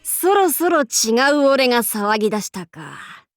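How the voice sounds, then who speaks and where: A woman's voice: fairly high pitch, sing-song, a little slow, dry recording, clean studio sound, soft background music. A woman voicing an imperious, haughty demon-king warlord in a mobile game, speaking in pompous, archaic lordly Japanese phrasing. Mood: fierce, cocky.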